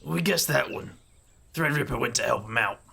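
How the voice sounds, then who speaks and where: An actor playing a dry, self-deprecating street magician who also narrates the story. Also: male voice, medium pitch, moderate pace, vocal fry, sharp tone, slightly rough, full character voice. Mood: wry. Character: wry street magician, a leader among city magicians. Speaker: first-person narrator of the story. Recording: noisy background hiss.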